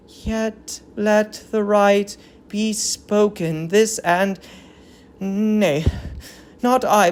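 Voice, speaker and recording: A woman's voice: mid-range voice, creaky, a little mellow. A woman playing a grieving, dignified old queen in a Greek tragedy reading, with solemn, declamatory verse delivery. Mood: tender lament. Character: grieving old queen mourning her dead grandchild, bitter, dignified.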